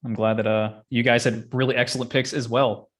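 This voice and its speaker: A man's voice: mid-range voice, flat delivery, brisk, a bit bright. A man hosting a casual chat, talking in a slangy, opinionated and rambling way. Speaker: podcast host. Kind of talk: casual chat about games and films.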